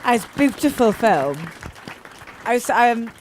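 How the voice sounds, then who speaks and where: Giggly Welsh journalist hosting a Q&A. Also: female voice, a little high, big pitch swings, unhurried, some chatter behind, slight background noise. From from just outside Cardiff. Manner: giggly, chatty, teasing. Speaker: journalist, Q&A host. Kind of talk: hosting a festival Q&A. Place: cinema Q&A stage.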